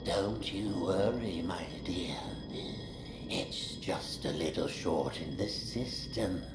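Video game villain: elderly man, low and creaky, unctuous fatherly menace, roomy echo under loud ambient noise. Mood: coaxing, reassuring. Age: older. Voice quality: breathy, gravelly near-whisper. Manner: slow, unctuous, theatrical. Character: sinister old man, softly coaxing, with fatherly menace.

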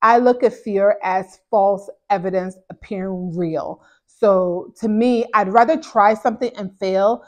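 A chatty woman in her thirties, originally from Ghana, answers viewer questions in a relaxed, animated way. She talks to camera as a therapist host, close on a lapel mic in a small indoor room. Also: medium pitch, measured pace. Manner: chatty, animated, gestures a lot while talking. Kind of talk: casual Q&A chat to camera. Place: small indoor home office.

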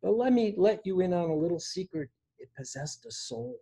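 A man in his sixties reading poetry aloud in a measured way, pausing between phrases, recorded at home on a video call. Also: high voice, sing-song, warm tone, husky. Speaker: poet reading own work. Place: indoor room at home. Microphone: device mic on a video call.

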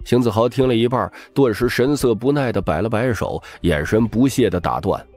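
A man's voice: on the low side, expressive, normal speed, darkish tone, faint music behind. A man narrating a story as an audiobook reader in a dramatic scripted style.

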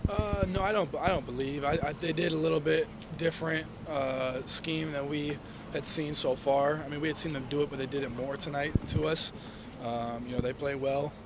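A young man, a college quarterback, answering reporters' questions after a game, measured and full of "you know"s, into handheld mics indoors. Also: on the high side, steady tone, crowd noise. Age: young adult. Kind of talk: post-game press interview. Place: indoor hallway before a sponsor backdrop. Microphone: handheld reporter mics and phones held toward him.

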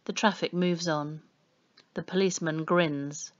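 Female storybook reader, lower-pitched, expressive narration for children, muffled lo-fi recording. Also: unhurried. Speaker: audiobook reader. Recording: dull, lo-fi sound.

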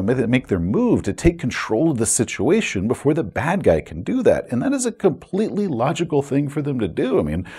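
A man's voice: medium pitch, creaky, warm tone, breathy edge. An American man in his forties presenting an emphatic, explanatory scripted video essay as host. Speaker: host, game master. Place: small home room with curtains and bookshelves.

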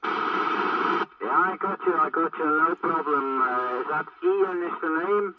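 A man's voice: fairly high pitch, unhurried, a crowd in the background. A man chatting hesitantly as a CB radio operator, over a radio transmission. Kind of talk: casual two-way radio chat. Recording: noisy, muffled, distant CB radio signal.

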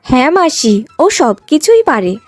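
A patient, caring young housewife in a cartoon story, voiced by a woman, with a very high, gentle voice. The sound is dry, with soft music behind it. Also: sing-song, unhurried, no room sound. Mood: proud. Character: kind, hard-working young sister-in-law, patient and caring.

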